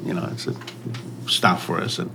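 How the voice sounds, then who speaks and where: An older man who grew up in Mexico, telling his story in a relaxed interview, halting and often trailing off. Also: noisy background. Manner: halting, many pauses and restarts, soft trailing phrases. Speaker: restaurant owner. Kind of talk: casual interview.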